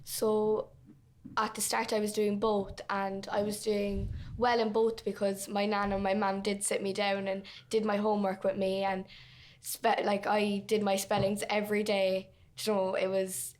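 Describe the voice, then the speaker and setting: A woman's voice: mid-range voice, measured pace. A fifteen-year-old girl answering interview questions in a plain, slightly shy way. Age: teenager. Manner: plain, steady, a bit shy with ums. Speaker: guest.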